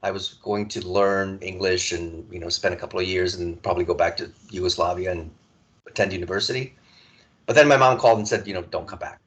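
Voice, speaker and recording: A man in his forties from Serbia, a podcast guest telling his story in a relaxed conversational way, stumbling and restarting often. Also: low-pitched. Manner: halting, many restarts and repeated words.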